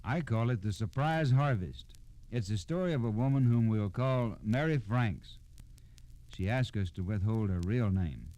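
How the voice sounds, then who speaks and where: An American man delivers scripted narration for old-time radio. His voice sits fairly low and has a dark, relaxed tone. He explains things plainly, as a storyteller and informant. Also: normal speed.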